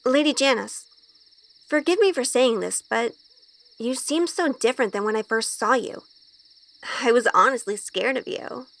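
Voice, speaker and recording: A woman's voice: a little high, normal speed, vocal fry, fairly bright tone, rough recording. An actress playing an innocent, anxious young woman newly among witches, with an earnest, polite, timid manner in an audio drama. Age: young adult. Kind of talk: audio drama acting with first-person narration.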